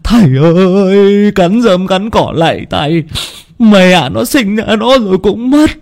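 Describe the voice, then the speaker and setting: A man's voice: sits high, expressive, measured pace, a little mellow, voice pushed up. A man voicing a desperate, humble father in a horror story, pleading tearfully in a wail. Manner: wailing, pleading. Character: desperate, humble widowed father begging for help. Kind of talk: dramatized horror story narration.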